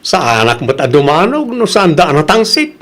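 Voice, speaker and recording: Man acting a cocky, high-voiced hot-headed jeepney driver. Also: huge pitch swings, moderate pace, a bit bright. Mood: grudging. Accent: Filipino. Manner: brash, boastful. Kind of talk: Ilocano comedy radio drama acting.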